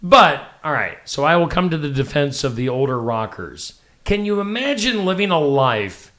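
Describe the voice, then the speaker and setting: Lively chatty male morning show host. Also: on the high side. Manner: chatty, reads news items with quick asides. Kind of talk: casual chat.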